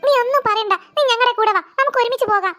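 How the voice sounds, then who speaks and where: A woman's voice: extremely high pitch, very fast, bright, forceful tone, soft background music. A woman voicing a caring child friend in a children's cartoon, pleading and insistent.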